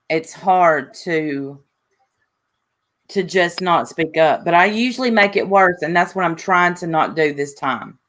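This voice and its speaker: A woman's voice: fairly low pitch, fairly bright tone. A woman hosting a live stream panel, talking bluntly and cutting in to argue.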